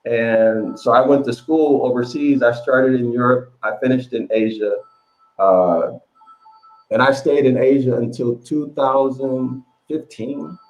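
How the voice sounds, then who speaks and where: A man's voice: mid-range voice, steady tone, measured pace, darkish tone. Rambling man on a muffled call. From United States. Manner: rambling, many fillers and restarts. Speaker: interview guest, author. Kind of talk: casual storytelling interview. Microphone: online call.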